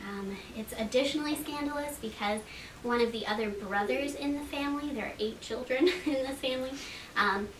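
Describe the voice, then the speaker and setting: A woman giving an informal, slightly halting introduction with historical background at a live event. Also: high-pitched. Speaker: presenter introducing readers at a library event. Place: library reading room at a public event. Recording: echoey room, distant mic, clear background noise.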